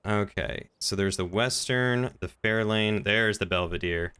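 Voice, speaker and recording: A man's voice: lowish voice, unhurried, pushed and bright, smooth. A man chatting casually with someone while playing a game. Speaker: roleplay server crime lead. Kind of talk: casual chat while gaming.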